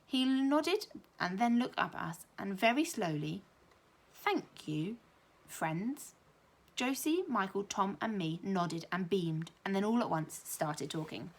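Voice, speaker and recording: A young woman, a primary school teacher, reading a children's novel aloud with lively expression. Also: measured pace. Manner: lively storybook reading, higher and louder for children's lines.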